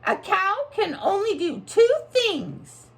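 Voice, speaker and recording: A woman voicing a cheerful grown woman who owns a small farm, in a storybook read-aloud, speaking in bright exclamations. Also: very high voice, very animated, slow pace, voice pushed up, heavily acted.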